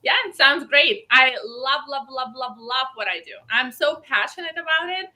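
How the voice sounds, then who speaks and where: A woman from Belarus speaks with a clear Belarusian accent. Her voice sits high and sounds bright. She talks about her design work with eager, emphatic energy and piles on repeated words for stress. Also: normal speed. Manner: enthusiastic, emphatic repetitions. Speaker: guest, gown designer. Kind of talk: casual interview talk.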